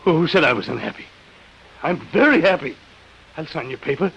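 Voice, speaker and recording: A man's voice: a little high, big pitch swings, brisk, vocal fry, a bit bright, slightly rough, full character voice, poor audio. An actor playing an agitated, despairing man in an old radio drama, speaking with stammering intensity. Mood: panicked. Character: agitated, despairing man horrified by robot rule. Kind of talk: 1950s radio drama acting. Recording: old radio broadcast recording.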